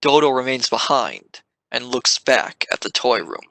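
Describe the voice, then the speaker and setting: A narrator for a live amateur radio play, with slow, careful delivery and a bright, forceful tone. The sound is dry and close, and the reading leans into sudden turns. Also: mid-range voice, sing-song, gravelly, no echo, poor audio. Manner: dramatic, stressing sudden events.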